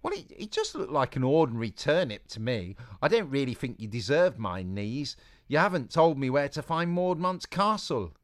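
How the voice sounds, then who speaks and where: A man's voice: medium pitch, very animated, moderate pace, pitched above the performer's own voice, lightly acted. A man reading aloud and voicing a plucky, chatty young girl in a raised voice. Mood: doubtful.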